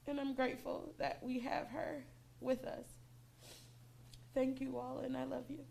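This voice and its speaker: A woman's voice: high-pitched, level tone, unhurried, gravelly, a little mellow, a little hiss or hum. A woman giving a personal, heartfelt family tribute at a memorial service.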